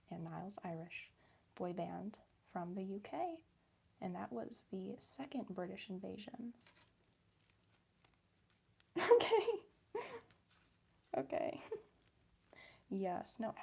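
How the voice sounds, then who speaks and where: Young Midwestern woman, ASMR host: soft whisper-to-murmur delivery, gravelly fry, chatty and giggly, mid-range pitch. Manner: soft-spoken, drifting between whisper and quiet voice; chatty and giggly, answering viewers. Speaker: ASMR creator. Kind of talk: ASMR chat while crafting.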